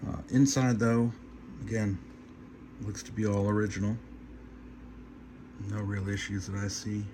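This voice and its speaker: Low-voiced man, casual and halting, noisy recording. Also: little pitch movement. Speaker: hobbyist presenter of a radio repair video. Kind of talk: casual unscripted hands-on demonstration. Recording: noticeable background hum and noise.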